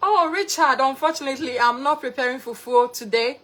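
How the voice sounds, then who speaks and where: A woman's voice: high voice, brisk, a bit bright. A young woman from Ghana with a Ghanaian accent, hosting a live cooking stream and greeting viewers by name with repeated thanks. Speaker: cooking livestreamer.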